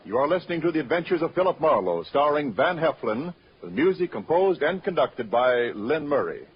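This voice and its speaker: A man's voice: a little high, big pitch swings, brisk. An American man announcing a radio show and reading scripted sponsor copy in a 1940s style, on an old recording. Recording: muffled vintage radio broadcast recording.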